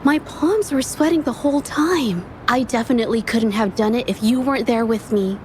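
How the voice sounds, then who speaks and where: An actress playing a shy, earnest young woman painter in a game, speaking softly and stammering when flustered. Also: fairly high pitch, normal speed, a little hiss or hum, natural voice. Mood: grateful. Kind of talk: game voice acting. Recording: game audio with faint music underneath.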